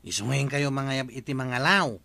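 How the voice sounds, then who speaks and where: An actor with an Ilocano accent playing a curious, cautious village sidekick in a radio comedy drama, talking in a questioning way. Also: male voice, mid-range voice, normal speed, vocal fry, sharp tone, dry recording.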